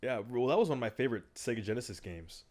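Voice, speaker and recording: A man's voice: medium pitch, expressive, quick pace, a little mellow. A man co-hosting a podcast in casual chat, jokey and loose, with lots of filler words. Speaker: podcast co-host.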